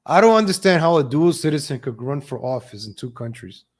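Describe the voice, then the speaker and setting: A man's voice: a little high, lively intonation, a little fast. A man hosting a live stream of political gossip commentary, mocking and sarcastic, repeating phrases for emphasis.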